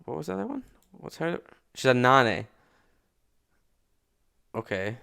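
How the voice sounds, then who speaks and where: A man giving casual, slangy reaction commentary as a streamer, with blunt loud outbursts. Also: medium pitch, very animated, a little slow, gravelly, smooth.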